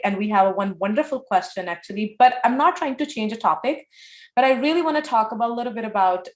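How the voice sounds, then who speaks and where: A woman in her forties moderating a panel discussion, lively and acting out bits of dialogue. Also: mid-range voice. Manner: lively, acts out dialogue in changing tones. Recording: echoey room sound.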